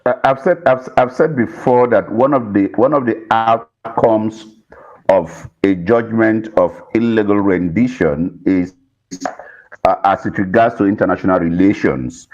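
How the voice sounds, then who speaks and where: A man's voice: fairly low pitch, darkish tone. A man giving political commentary as a panel guest, choosing words carefully, on dull lo-fi audio. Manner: measured, careful wording.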